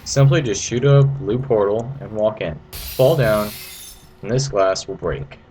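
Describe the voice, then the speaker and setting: A low-pitched man talks through a video game in a casual, rambling style. He sounds informal and playful, like an amateur creator guiding viewers. The recording is homemade, with some noise behind the voice. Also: measured pace, mellow. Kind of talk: casual gameplay commentary. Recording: noisy amateur home recording.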